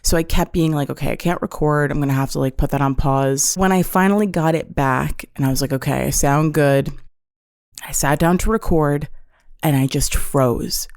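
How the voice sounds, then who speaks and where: A woman's voice: low-pitched, moderate pace, darkish tone, no echo, clean studio sound. A woman in her twenties hosting her own podcast alone, chatting casually and self-deprecatingly from her apartment. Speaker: solo podcast host. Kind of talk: casual confessional chat. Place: apartment room. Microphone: on-camera setup.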